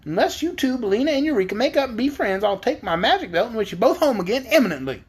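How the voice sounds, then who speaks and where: A reader voicing a spirited young girl who speaks brightly and firmly. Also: medium pitch, big pitch swings, fast talker, voice pushed up, lightly acted. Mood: stern. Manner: bright, firm when scolding.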